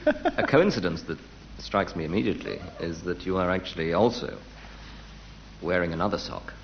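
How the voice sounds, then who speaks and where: A man's voice: deep voice, expressive, moderate pace, creaky. A man performing mock-serious, drawling wordplay as a panellist on a radio comedy show. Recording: muffled, very noisy live radio recording. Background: loud studio audience laughter.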